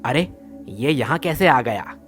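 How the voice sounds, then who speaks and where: A man's voice: medium pitch, lively intonation, a little slow, fairly bright tone, faint music behind. A man playing a greedy village thief in a devotional story, brash, colloquial and grumbling. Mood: uneasy.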